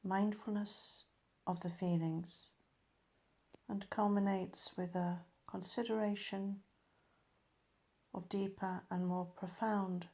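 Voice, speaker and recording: A woman leading a guided meditation as a teacher, calm and measured, letting her phrases end softly. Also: on the low side.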